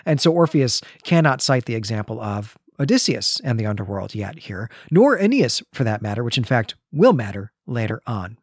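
A man's voice: mid-range voice, big pitch swings, normal speed, few pauses, breathy edge, no echo, studio quality. A man hosting a literary discussion, explaining and recapping in an articulate way, often checking his points with a tag question. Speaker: podcast host.

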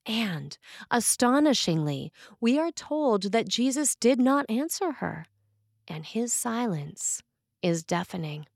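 A woman priest reading a scripted sermon in a measured, earnest way with dramatic emphasis on key phrases. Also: on the high side, sing-song, gravelly, a little mellow, no echo, clean studio sound.

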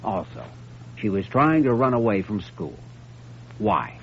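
An actor playing an earnest, compassionate young doctor with a probing manner, in vintage radio drama style. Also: male voice, fairly low pitch, big pitch swings, measured pace. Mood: concerned. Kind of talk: 1950s radio drama acting. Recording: muffled, faintly noisy vintage radio recording.

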